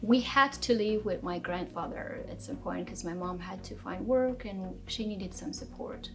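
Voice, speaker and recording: A woman in her thirties from Armenia, telling her life story in a measured, reflective way in an interview, with frequent fillers and restarts. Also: medium pitch, music in the background. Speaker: interviewee, strategy director. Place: office.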